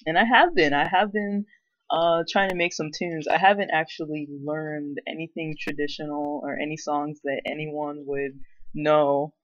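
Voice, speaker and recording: A man's voice: on the high side, fairly even intonation, measured pace, fairly bright tone, lo-fi sound. A man giving a casual, unscripted show-and-tell as a hobbyist vlogger, rambling and hesitant in a self-effacing way.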